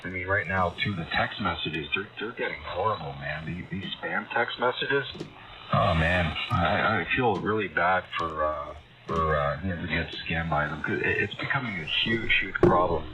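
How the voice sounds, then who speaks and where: A man's voice: sits low, normal speed. A man chatting casually and a little hesitantly over a dull, noisy ham radio transmission. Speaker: amateur radio operator.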